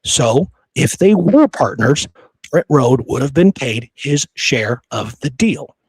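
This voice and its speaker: A man's voice: medium pitch, very animated, takes it slowly, a little mellow, husky, dry recording, low-quality recording. A man hosting a history podcast, talking casually and emphatically and often checking for agreement. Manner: informal, emphatic, seeks agreement with "right?".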